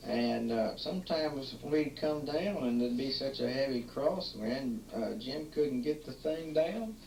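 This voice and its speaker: A man, casually narrating old family home movies at home with many halting pauses and restarts. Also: mid-range voice, expressive, darkish tone. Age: 40s. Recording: roomy, distant, faint hiss, 1980s home tape.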